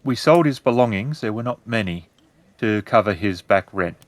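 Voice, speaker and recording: A cautious innkeeper from a samurai-era town, played by a male game master, speaks in a lowish voice. He is deferential and measured, choosing his words carefully in a dry, close sound. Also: animated, no room sound, close to an everyday voice. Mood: guarded, helpful.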